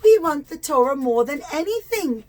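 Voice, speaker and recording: A woman's voice: high-pitched, expressive, moderate pace. A woman voicing earnest, innocent Israelites who plead and explain simply in a children's puppet show.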